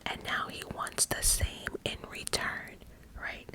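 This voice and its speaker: Whispering woman in her forties. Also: slow. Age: 40s. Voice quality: unvoiced whisper throughout. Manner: candid, frank, laughs at herself, many asides. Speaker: YouTuber telling a story. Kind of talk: whispered ASMR storytime.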